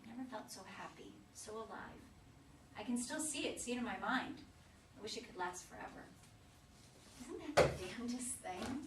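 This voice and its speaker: A woman's voice: fairly high pitch, animated. Woman playing forgetful elderly mother, echoey, distant. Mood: wistful reminiscing. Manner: halting, questioning, forgetful. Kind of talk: staged play reading. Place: echoey room at a live play reading. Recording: distant, echoey room with loud noise.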